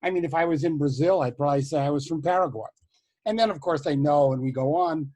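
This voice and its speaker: A man's voice: fairly high pitch, quick pace, warm tone. A man telling a playful anecdote as a panelist on a video call, joking easily. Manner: jokey, playful storytelling. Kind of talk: casual anecdote.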